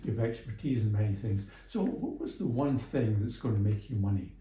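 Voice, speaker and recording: A man's voice: fairly low pitch, animated, a little fast, warm tone. A middle-aged or older man being interviewed, explaining things through anecdotes in a conversational, somewhat hesitant way. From Scotland and England. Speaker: interview guest, small business mentor and executive coach. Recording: roomy, echoing sound.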